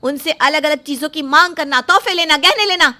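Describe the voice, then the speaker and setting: A woman's voice: high-pitched, a little fast, pushed and bright. A woman playing an outspoken, self-respecting young bride-to-be in a story drama, speaking firmly and indignantly. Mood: angry, accusing. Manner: firm, indignant, emphatic. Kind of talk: dramatised Hindi moral story acting.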